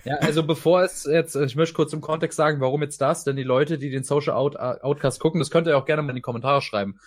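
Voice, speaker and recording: A man's voice: a little high, steady tone, fast, no echo, studio quality. A man hosting a podcast in a casual, wordy, rambling way. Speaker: podcast host. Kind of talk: casual talk.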